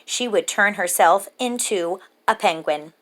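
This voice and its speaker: A woman reads a picture book aloud for young children. She takes her time, letting each phrase land with an expressive, rhythmic lilt. Her voice sits at an ordinary medium pitch for a woman. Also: unhurried. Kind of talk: children's story read-aloud.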